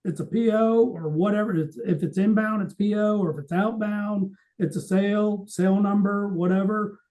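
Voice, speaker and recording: Male podcast co-host, notably high pitch, dark and relaxed tone, conversational explainer who stresses his points and role-plays quotes. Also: moderate pace, lo-fi sound. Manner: explanatory, emphatic, acts out quoted remarks. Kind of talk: conversational industry podcast talk.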